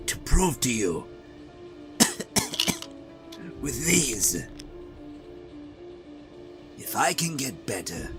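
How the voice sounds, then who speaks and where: A man voicing a manic, taunting male clown villain in a fan-dubbed motion comic, theatrical and swinging from shouts to hushed tones. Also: fairly low pitch. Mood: weary.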